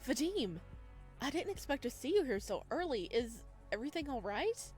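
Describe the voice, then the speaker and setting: A woman plays a friendly young man working in a flower shop in a visual novel. He sounds bright, polite and chatty, pitched up and eager to help, with music behind. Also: very animated, normal speed.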